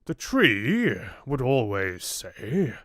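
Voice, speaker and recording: A man performs an ancient tree-giant telling a storybook fairy tale. He speaks extremely slowly and solemnly, with wide, gentle swells of pitch. The sound is close and dry. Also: sing-song, no room sound, exaggerated voice. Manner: slow, deliberate, measured. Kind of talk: audiobook fairy tale narration.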